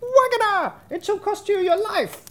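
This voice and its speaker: A storyteller voicing a small vengeful sparrow with a squeaky, chirpy, taunting delivery. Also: animated, moderate pace, fairly bright tone. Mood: menacing, taunting.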